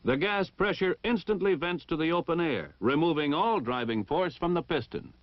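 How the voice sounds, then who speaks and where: Man, American accent, formal training film narrator, lively intonation, precise enunciation, dry muffled vintage soundtrack. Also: a little high, normal speed, no echo. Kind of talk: scripted instructional narration, 1960 military training film. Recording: dull 1960 film soundtrack.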